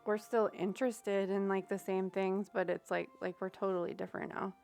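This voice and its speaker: A woman's voice: mid-range voice, level tone, moderate pace, creaky, darkish tone, faint music behind. A young woman chatting casually on a livestream, giving readings and thinking aloud with many fillers. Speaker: streamer giving tarot readings. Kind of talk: casual livestream chat with viewers.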